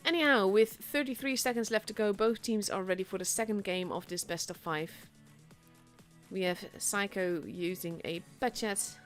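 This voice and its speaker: A young Dutch woman, a native Dutch speaker, casting a tank game solo in a chatty, self-deprecating way that grows excited at big plays. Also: medium pitch, normal speed, faint music behind. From Netherlands. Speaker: esports caster.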